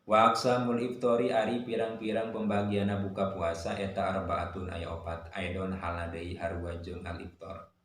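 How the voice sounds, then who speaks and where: Deep-voiced man teaching in an echoey room. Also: level tone. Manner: recites Arabic phrases, then glosses them word by word in a measured cadence; poses and answers his own questions. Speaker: Islamic religious teacher. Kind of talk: religious lesson with classical text reading. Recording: very echoey room, faint noise.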